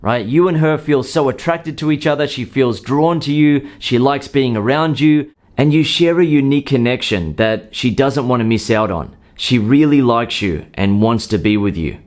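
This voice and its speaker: Man giving calm dating advice. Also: medium pitch, normal speed, few pauses. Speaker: dating coach. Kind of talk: scripted advice monologue.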